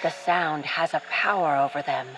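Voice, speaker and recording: A woman's voice: low-pitched, normal speed, close to an everyday voice, poor audio. A woman narrator reading scripted adventure storytelling in a theatrical, literary manner. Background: music.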